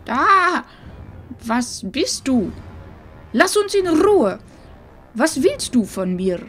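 A woman's voice: high-pitched, very animated, extremely slow, a bit bright, faint music behind, heavily acted. A woman playing an anxious, protective old village seer, urgent and pleading, in a fantasy audio drama. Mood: alarmed.

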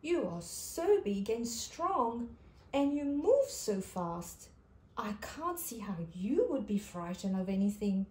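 A woman's voice: fairly high pitch, sing-song, slow pace, echoey room. A woman voicing a timid little platypus. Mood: hesitant. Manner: timid, hesitant, soft. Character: shy, nervous young male platypus.

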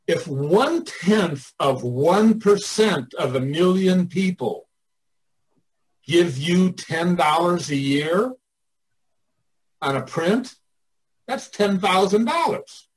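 A man's voice: sits high, animated, takes it slowly, long pauses, breathy edge, rough recording. An older man giving informal one-on-one coaching in a blunt, emphatic way, thinking aloud as he goes. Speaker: artists' coach and mentor.

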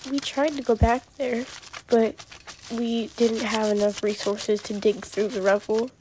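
A woman voicing a sad, reflective mutant turtle master recounting memories in a cartoon comic dub, grave and measured. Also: on the high side, flat delivery, a little mellow, slight background noise, natural voice, low-quality recording. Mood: somber. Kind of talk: fan comic dub acting, storytelling.

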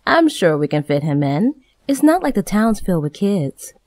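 A woman playing a calm, obliging small-town schoolteacher in a video game. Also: mid-range voice, moderate pace, close to an everyday voice. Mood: calm, welcoming. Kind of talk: video game cutscene acting.

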